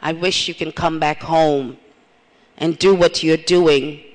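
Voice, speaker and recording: A woman's voice: low voice, steady tone, slow. A middle-aged woman from Trinidad giving an earnest, testimonial conference speech with repeated phrases. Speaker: conference speaker. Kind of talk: plenary speech. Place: large conference hall. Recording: reverberant hall.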